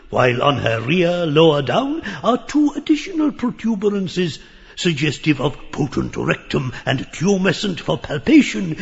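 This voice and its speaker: Parrot-like old pedant: nasal croak, wildly animated, pompous and lecherous, theatrical audiobook, reverberant muffled sound. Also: male voice, a little high, full character voice. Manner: pompous learned lecturing, full of crowing outbursts. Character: grotesque pedantic old man, parrot-like, leering and excitable. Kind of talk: theatrical audiobook acting of a surreal stage play.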